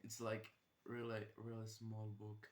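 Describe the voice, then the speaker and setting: A man's voice: lowish voice, monotone, a little slow. Hesitant young man. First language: Czech. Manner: brief, hesitant. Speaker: co-host, boyfriend. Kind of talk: casual chat. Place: bedroom. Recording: roomy bedroom echo.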